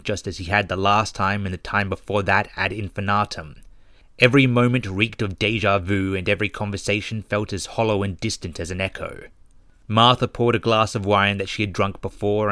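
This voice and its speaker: A man narrating a scripted horror story in a grave, measured, ominous and dramatic manner. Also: on the low side, sharp tone, no room sound, studio quality. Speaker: narrator and author of horror short stories.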